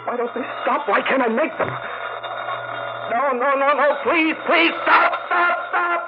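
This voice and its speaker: A man's voice: very high-pitched, unhurried, over loud music. An actor playing a guilt-crazed man haunted by phantom bells, panicky and pleading in 1940s radio acting. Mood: frantic. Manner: panicky, pleading, breaks into shouts. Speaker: murderer unraveling. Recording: tinny 1940s radio broadcast.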